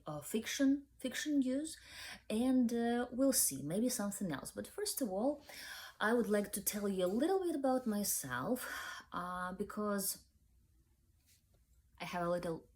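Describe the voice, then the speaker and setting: A woman's voice: mid-range voice, animated, unhurried, a little mellow. A young woman with a Ukrainian accent hosting a book review channel, speaking hesitantly with many fillers. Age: young adult.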